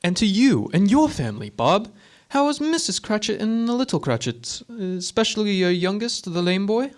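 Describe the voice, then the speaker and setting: A man's voice: sits high, sing-song, moderate pace. A man playing a cheerful, good-hearted young nephew in a radio drama, earnest and hearty. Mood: warm, hearty.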